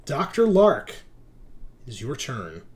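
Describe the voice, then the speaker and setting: A man's voice: medium pitch, wildly swooping pitch, takes it slowly. Casual male game master narrating. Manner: casual, colloquial, swearing. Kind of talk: narrating a tabletop roleplaying game.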